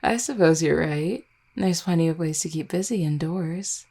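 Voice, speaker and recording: Vampire girlfriend for roleplay audio, played by a woman: lowish, slightly creaky voice, affectionate, caring, gently teasing. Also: normal speed. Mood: thoughtful. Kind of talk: intimate roleplay audio, soft conversational acting.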